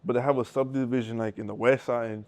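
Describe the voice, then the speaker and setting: Young so-cal guy rattling off stories quick, cussing nonstop, lots of restarts. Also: mid-range voice, level tone, a little mellow. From Southern California. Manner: rambling, profane, street slang, restarts often. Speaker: interview guest. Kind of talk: casual storytelling with heavy slang.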